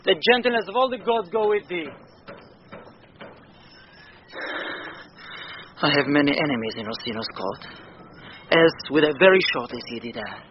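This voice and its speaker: A man's voice: a little high, animated, quick pace, lightly acted. An actor playing a devoted, ardent seafaring man in a classical Shakespearean radio play, with emotional, pleading loyalty. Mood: adoring. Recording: muffled radio recording with noise.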